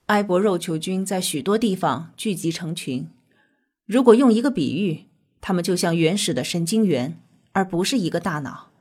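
Lowish-voiced, measured scientist. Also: female voice, animated, a little mellow, breathy edge. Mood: grave, insistent. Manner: measured, explanatory. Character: calm, earnest female scientist with mystical faith. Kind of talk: audio drama dialogue.

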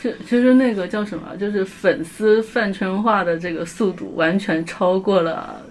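A woman's voice: mid-range voice, moderate pace, a little hiss or hum. A woman chatting casually in a group as a podcast panelist.

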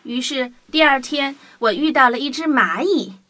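A woman telling a children's story in a playful, animated first-person voice. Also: high voice, normal speed, a little hiss or hum. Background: background music.

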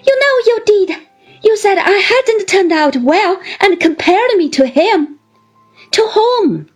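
A woman's voice: extremely high pitch, huge pitch swings, slow, faint music behind, pitched above the performer's own voice, heavily acted. A woman voicing a childish young wife in a story, crying and accusing in a melodramatic, wounded way.

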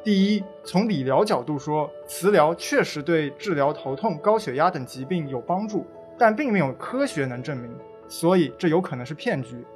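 A man plays an earnest, firm legal-awareness campaigner in a radio drama. His voice is a little high, and he lays out his points methodically over background music. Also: expressive, moderate pace, hardly any pauses, lightly acted. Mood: firm, accusing. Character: earnest male legal-awareness volunteer exposing a scam. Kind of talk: amateur legal-education radio play.

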